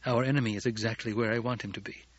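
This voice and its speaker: A man voicing a calm, commanding young desert leader in an audiobook, with a measured, assured delivery. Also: lowish voice, no room sound, lo-fi sound, lightly acted. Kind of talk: audiobook character dialogue.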